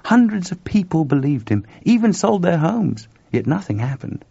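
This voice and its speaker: Male narrator as a wry doubtful engineer guy, grumbly, husky, swingy pitch, dull recording. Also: big pitch swings, moderate pace, dark tone, no echo, heavily acted. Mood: reasoning, unbothered.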